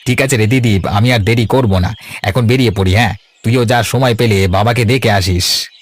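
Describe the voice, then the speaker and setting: Man as a low-voiced, proud younger brother. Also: normal speed, natural voice. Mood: easygoing, reassuring. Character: proud, resentful younger brother and kindly uncle. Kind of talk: animated family drama acting.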